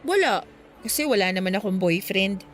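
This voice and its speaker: A woman's voice: mid-range voice, expressive, normal speed, slight background noise, natural voice. A woman playing a sulky, shy eighteen-year-old student girl with a Filipino accent in a radio drama, with a girlish, conversational delivery. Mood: coy, teasing.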